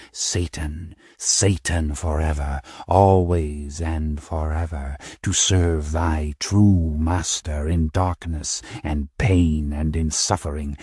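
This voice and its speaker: A man voicing a cold male cult leader who intones slowly in archaic speech. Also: sits low, mellow, no echo, studio quality, voice pushed down. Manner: slow, intoning, old-fashioned thee and thou.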